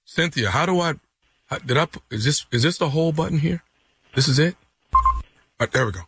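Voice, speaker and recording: A man's voice: fairly high pitch, lively intonation, fairly quick, fairly bright tone. A man playing an apologetic office manager who has to break bad news, hesitant and stammering. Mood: flustered. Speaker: comedian doing prank phone calls.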